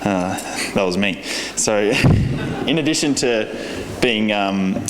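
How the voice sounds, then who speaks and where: A young Australian man speaks with a lowish voice and an earnest, halting delivery full of fillers and restarts. He is giving a keynote in a big convention hall, and the sound rings out with a long, roomy echo. A faint hum of room noise sits underneath. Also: expressive. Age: young adult. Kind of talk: conference keynote speech.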